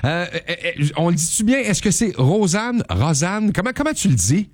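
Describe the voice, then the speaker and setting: A man's voice: sits high, sing-song, normal speed, dry recording. A man hosting a morning radio show, chatting casually and joking, his voice jumping up on questions.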